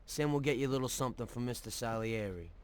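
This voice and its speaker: A man's voice: medium pitch, fast, slight background noise, natural voice. An actor playing a tough, terse mob henchman in a video game, with a commanding manner. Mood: relieved. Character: tough, hard-driving male mob henchman.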